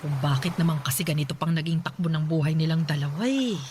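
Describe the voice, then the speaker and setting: A woman's voice: sits low, fast talker, darkish tone, a little hiss or hum, lightly acted. A woman acting a worried middle-aged mother with a Filipino accent in a fretful, emotive Tagalog radio drama. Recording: music behind the voice.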